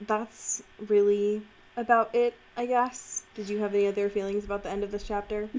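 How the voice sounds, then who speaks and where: A woman co-hosting a podcast, casually recapping a book in a sarcastic, giggly, expressive way. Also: medium pitch, normal speed. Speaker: podcast co-host.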